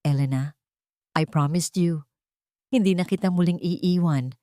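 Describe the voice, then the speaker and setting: A woman voicing a devoted, reassuring man in love in a romantic audio drama, earnest and gentle. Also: lowish voice, measured pace, dry recording, clean studio sound, lightly acted.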